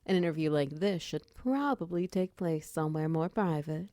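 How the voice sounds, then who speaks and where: Woman playing a chatty, lowish-voiced minotaur shopkeeper wizard. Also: lively intonation, normal speed, mellow, no echo. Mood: busy, apologetic. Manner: chatty, giggly, casual and conversational. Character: friendly, flustered female minotaur potion-shop wizard, bubbly boss. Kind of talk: roleplay ASMR audio drama, addressing the listener.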